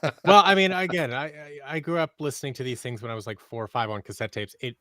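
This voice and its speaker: A young man chatting casually as a podcast guest, halting and often interrupting himself. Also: fairly high pitch, sharp tone, dry recording, clean studio sound. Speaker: podcast guest, audio drama creator. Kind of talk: casual chat. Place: small bright room at home, video call. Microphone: microphone on boom arm.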